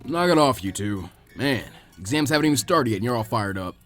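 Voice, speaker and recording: A man's voice: mid-range voice, lively intonation, fairly quick, fairly bright tone, faint music behind, pitched below the performer's own voice, lightly acted. Storybook role: easygoing male teacher acted by a man, weary, reasoning, peacekeeping. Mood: weary scolding. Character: laid-back adult male ninja teacher, placating.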